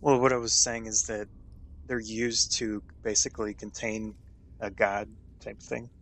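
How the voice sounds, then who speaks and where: A man's voice: fairly low pitch, flat delivery, unhurried, fairly bright tone, a little hiss or hum. A man playing a tabletop role-playing game, casually asking questions.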